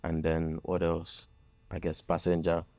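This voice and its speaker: Deep-voiced soft-spoken Nigerian-born man. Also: completely flat delivery, unhurried. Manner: soft-spoken, hesitant, many fillers. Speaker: guest musician. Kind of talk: casual interview talk.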